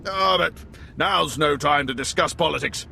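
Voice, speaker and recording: High-pitched, theatrical male spy chief. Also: moderate pace, creaky, pushed and bright, slight background noise, full character voice. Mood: confiding. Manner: theatrical, effusive, gossipy. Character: excitable, wry male spy station chief, theatrically chatty. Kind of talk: video game voice acting.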